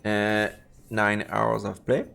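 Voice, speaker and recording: A man hosting a live let's play, reading game text aloud haltingly and adding playful muttered commentary. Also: on the low side, level tone, gravelly, fairly bright tone, smooth. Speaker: streamer, let's play host. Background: game music.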